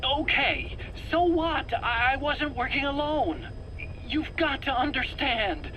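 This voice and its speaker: Nervous nerdy scientist in a video game, high tense whiny voice, stammers and pleads, bit of noise. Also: male voice, animated, takes it slowly, bright, forceful tone, heavily acted, low-quality recording. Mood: defensive pleading. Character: nervous, defensive, cowardly male scientist under interrogation. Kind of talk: video game cutscene acting. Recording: faint background noise.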